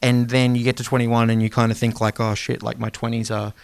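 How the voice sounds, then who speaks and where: Smooth-voiced man in casual podcast chat. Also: flat delivery, brisk, no echo. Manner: rambling, conversational, drops to a low mumble at times. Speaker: podcast co-host.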